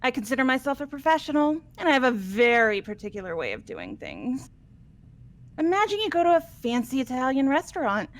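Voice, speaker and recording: A high-pitched, wildly swooping young murderess from a video game. She is manic and flamboyant, boasting gleefully and taunting with theatrical flair. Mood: gleeful menace. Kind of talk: amateur game voice acting.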